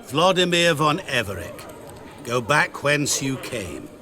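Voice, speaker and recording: A sly, sinister merchant, lively and sing-song in his phrasing. He sounds smooth and calm, with a quiet threat beneath. A crowd murmurs around him. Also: mid-range voice, slow. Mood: menacing. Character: sly, sinister man in the guise of a humble merchant. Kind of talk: game cutscene voice acting.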